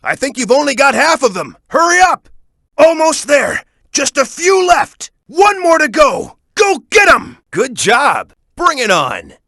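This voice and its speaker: A man's voice: very high voice, huge pitch swings, a little slow, creaky, bright, forceful tone, dry recording, clean studio sound, exaggerated voice. A man voicing a tough, cocky young male echidna fighter in a video game, with a punchy, emphatic, coaching delivery. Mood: fired up. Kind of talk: video game character voice lines.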